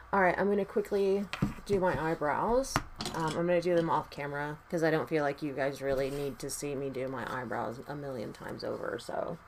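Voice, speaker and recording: A woman with a raspy voice doing her makeup and chatting casually, tired, rambling and swearing freely. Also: low-pitched, slight background noise, poor audio. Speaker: makeup vlogger.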